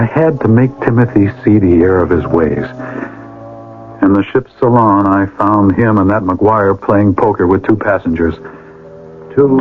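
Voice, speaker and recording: An actor playing an earnest, righteous older brother, theatrical and formal in an old-time radio drama. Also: male voice, lowish voice, close to an everyday voice. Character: earnest, righteous older brother, a pleading moralist, narrating his past. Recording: noisy, tinny vintage radio recording.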